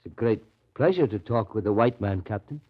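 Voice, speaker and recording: A husky, breathy man's voice on a muffled old radio recording. a ragged Russian devotee in the jungle, rambling and confiding with hushed reverence in 1930s drama style. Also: on the low side, expressive, fairly quick. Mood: reverent. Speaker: actor.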